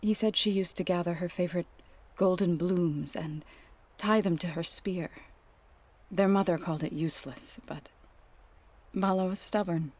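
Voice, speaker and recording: A woman playing a gentle, grieving tribeswoman in a video game, speaking in a soft, measured, reverent way. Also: on the low side, fairly even intonation, natural voice. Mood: wistful, tender. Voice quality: soft, breathy. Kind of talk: video game cinematic acting.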